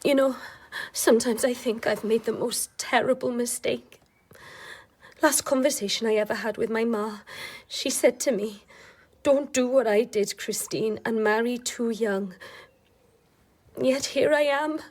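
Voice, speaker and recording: A woman's voice: fairly high pitch, unhurried. A woman acting in a radio drama as a troubled, lonely young wife, confiding and vulnerable. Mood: confiding, uneasy.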